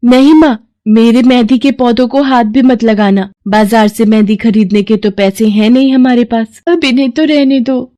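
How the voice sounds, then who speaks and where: A woman voicing a gentle young daughter who coaxes and pleads with her mother. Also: a little high, fairly even intonation, normal speed, few pauses, lightly acted. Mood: tearful. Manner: coaxing, pleading.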